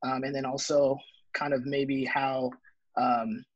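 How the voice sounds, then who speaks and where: A husky-voiced man with a medium pitch co-hosts a casual interview. He rambles through loosely phrased questions, and the sound is dry and a little dull. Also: flat delivery, takes it slowly, no echo. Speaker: interviewer, co-host. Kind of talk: casual chat.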